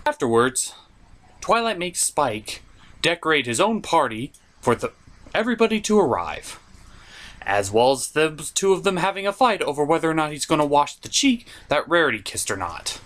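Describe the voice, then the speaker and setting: A theatrical host narrates a comedic cartoon review. The pitch swings widely, and the delivery is sarcastic and mock-exasperated, with some swearing. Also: sing-song, normal speed, a bit bright. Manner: profane.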